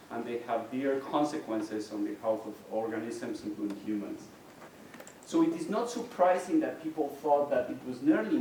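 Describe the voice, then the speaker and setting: A man's voice: mid-range voice, warm tone, slight background noise. A middle-aged man whose first language is Spanish, giving a university lecture in an explanatory way with frequent restarts. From Mexico. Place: lecture hall. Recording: very echoey hall, distant mic.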